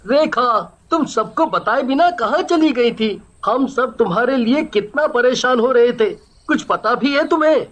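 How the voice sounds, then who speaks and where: A man playing a concerned young husband, gentle but reproachful, with an earnest chiding manner. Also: very high-pitched, measured pace, few pauses.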